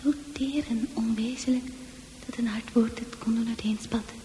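An actress playing a fragile, haunted female psychiatric patient in a radio drama, halting and dreamy, with a breathy, often near-whispered delivery. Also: a little high, fairly even intonation, dark tone, lightly acted. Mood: dreamy, melancholy. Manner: halting, poetic, lost in thought. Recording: faintly noisy old radio play recording.

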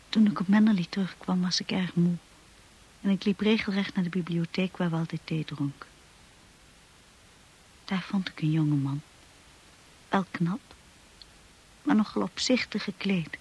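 An actress playing a reflective young wife who narrates her memories in a hushed, intimate way for a radio drama. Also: lowish voice, low-quality recording. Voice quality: hushed, breathy.

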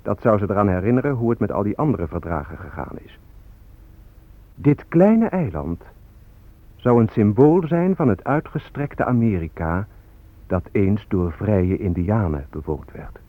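Defiant activist spokesman, very animated delivery. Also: lowish voice, a little slow, no room sound, narrow, tinny audio. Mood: ironic, deliberate. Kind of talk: radio drama acting, declamatory.

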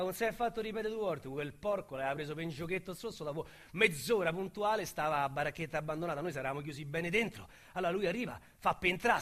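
A rough young Roman street criminal making a confession in a radio play. His voice is high and bright, with a sharp edge. He tells it vividly in thick slang, halting and agitated. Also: heavily acted. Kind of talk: dramatic radio monologue, colloquial storytelling.

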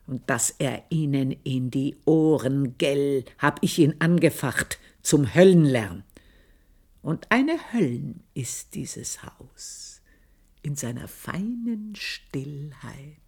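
Radio play role: unforgiving old housekeeper, southern German or Austrian accent, deep female register, deliberate and intense, echoing room. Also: lively intonation, a little mellow, full character voice. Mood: fervent, ominous. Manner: deliberate, intense, drops into whispers. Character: bitter, vengeful old housekeeper, pious and unforgiving. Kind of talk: slow, literary radio drama monologue.

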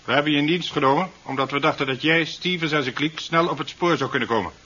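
A domineering male police commissioner, curt and impatient, with a husky, sharp, slightly high voice. The 1970s radio recording sounds dull. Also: fast. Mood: impatient, stern. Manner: curt, demanding, stern. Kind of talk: 1970s radio drama acting.

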